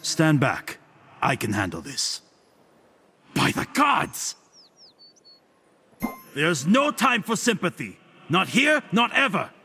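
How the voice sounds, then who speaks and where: High, haughty theatrical god. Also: male voice, wildly swooping pitch, gravelly, pushed and bright, soft background music, heavily acted. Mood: commanding. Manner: pompous, theatrical, drawn-out delivery. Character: haughty male god sage, theatrical and smugly witty. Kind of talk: video game character voice lines.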